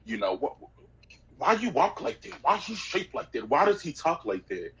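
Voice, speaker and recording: A man from Texas co-hosts a casual podcast chat. His pitch swings widely as he talks, rising high for emphasis and dropping low again. He is expressive and emphatic. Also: normal speed, slightly rough, dull audio. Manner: expressive, emphatic, says listen.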